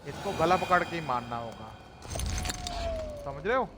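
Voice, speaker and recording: A man giving casual, slangy and excitable gameplay commentary. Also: on the high side, very fast, background noise. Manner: excitable, slangy, reacting to play. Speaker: gaming streamer. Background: game music and sounds.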